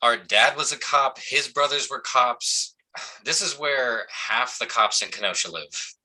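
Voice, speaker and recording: A gruff, sardonic small-town cop, acted by a man in a staged play reading. He has a sharp, very forceful voice at a middling pitch and speaks bluntly, in halting everyday phrases. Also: rough recording. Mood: exasperated.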